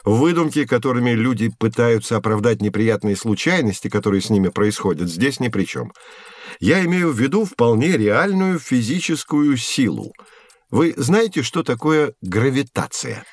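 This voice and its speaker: A kindly, didactic professor in an educational audio play. His voice sits at a medium pitch, recorded very dry. He explains in a measured way and corrects gently. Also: expressive, no room sound. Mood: patiently explaining. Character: patient male physics professor, kindly and didactic. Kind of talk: scripted educational audio drama.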